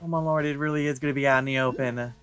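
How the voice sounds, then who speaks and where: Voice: mid-range voice, fairly even intonation, very fast, a bit bright. A let's play streamer giving casual gameplay commentary, playfully thinking aloud.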